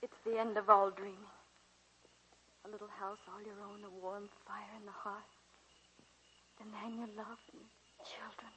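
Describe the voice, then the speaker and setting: An actress playing an earnest, genteel young American college teacher in a 1940s radio drama, speaking crisply, on a vintage recording. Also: female voice, medium pitch, level tone, moderate pace, pauses often, bright, forceful tone, natural voice, low-quality recording. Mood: wistful reminiscing.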